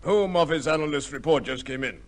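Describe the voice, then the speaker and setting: Actor as a formal major in government service. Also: male voice, fairly high pitch, brisk, lightly acted. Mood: matter-of-fact. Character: discreet British major, a Home Office official. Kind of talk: old-time radio drama acting. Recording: old radio drama recording.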